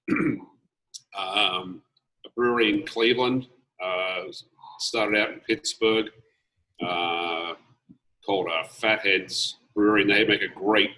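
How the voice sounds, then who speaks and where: Man, lowish and sharp-toned, mild Americanized Australian accent, blunt and easygoing, lots of ums. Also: low-quality recording. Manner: easygoing, opinionated, many ums. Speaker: brewmaster. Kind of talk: casual interview answers. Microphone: video call.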